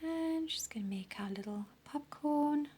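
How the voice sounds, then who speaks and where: A woman teaching a crochet pattern step by step, counting stitches aloud, her voice croaky and often dropping into a breathy half-whisper. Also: sits high, lively intonation, measured pace, mellow. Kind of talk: step-by-step craft tutorial.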